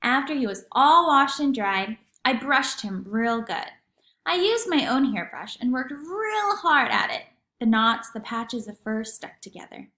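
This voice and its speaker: High, animated woman reading in echoey room. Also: a little slow. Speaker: read-aloud narrator. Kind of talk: expressive children's storybook narration.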